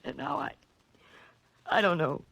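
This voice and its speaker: A secretive American woman in her thirties in a 1940s radio drama. The voice is husky and dry, swinging widely as she pleads. Her delivery is halting, anguished and melodramatic. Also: medium pitch, big pitch swings, a bit bright, no echo, heavily acted, poor audio. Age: 30s. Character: anguished, secretive 35-year-old daughter of a convict.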